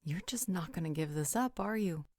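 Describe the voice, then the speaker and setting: A woman plays a sassy yet caring female pack member in an audio roleplay. Her voice sits low, and the sound is close and dry. She moves between teasing and reassurance. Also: lively intonation, normal speed, darkish tone, dry recording. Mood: sassy warning. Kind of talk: roleplay audio drama acting.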